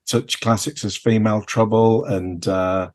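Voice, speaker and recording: A man's voice: lowish voice, fairly even intonation, measured pace. A man chatting casually as a podcast co-host, hesitant and meandering as he searches for words. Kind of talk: casual chat about films.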